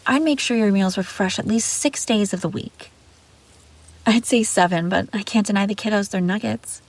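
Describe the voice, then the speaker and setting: A woman's voice: mid-range voice, normal speed, close to an everyday voice. A woman playing an obsessive, scheming young babysitter in an intimate audio roleplay, pleading and defensive. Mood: casual chatter. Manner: pleading, defensive, hesitant then insistent. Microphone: close, dry microphone.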